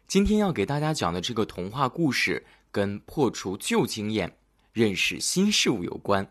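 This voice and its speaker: Children's storyteller, animated swooping pitch, slightly husky voice, expressive fairy-tale narration. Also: normal speed. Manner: expressive, dramatic delivery for children.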